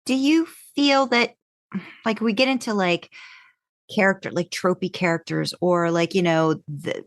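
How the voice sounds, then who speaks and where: A woman's voice: lowish voice, unhurried, no room sound, studio quality. A woman co-hosting a casual podcast interview, asking long, rambling questions and often murmuring agreement. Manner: rambling questions, frequent backchannel "mm-hmm". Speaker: podcast co-host.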